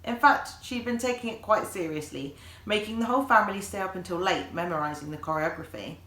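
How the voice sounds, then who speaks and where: A woman reading a children's story aloud in an animated way, like an author presenting the book. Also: on the low side, a little fast. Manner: animated, acts out dialogue. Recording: echoey room.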